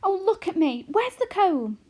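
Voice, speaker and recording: A woman's voice: high-pitched, lively intonation, normal speed, darkish tone, pitched above the performer's own voice. A woman reading aloud and voicing an anxious, fretful tiny mother who exclaims and frets, in a storybook reading. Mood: fearful. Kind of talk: audiobook character reading.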